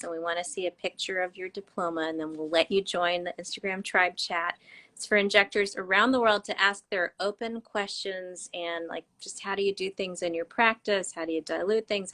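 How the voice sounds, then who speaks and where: A woman's voice: on the low side, steady tone, moderate pace, fairly bright tone. A woman, a doctor hosting a live online interview over a video call, bubbly and enthusiastic. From United States. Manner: bubbly, enthusiastic, laughs often. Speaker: host doctor.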